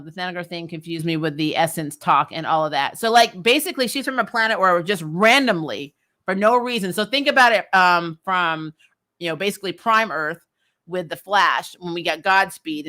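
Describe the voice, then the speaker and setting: A woman hosting a casual fan recap livestream, animated and giggly, giving emphatic opinions and repeating herself for effect. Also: mid-range voice. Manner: giggly, amused. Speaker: host of a fan recap show. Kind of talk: casual livestream chat, reading and answering viewer comments.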